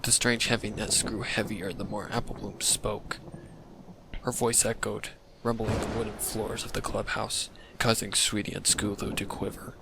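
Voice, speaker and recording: Low, gravelly man narrating a dark story. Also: level tone, moderate pace, background noise. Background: thunder and rain effects.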